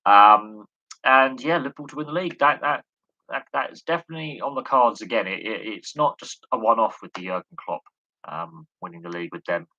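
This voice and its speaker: A man on a live sports stream, hoarse and losing his voice, rambling with many fillers and repeated words. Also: on the low side, fairly quick, sharp tone, dull audio. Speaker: sports commentator answering questions.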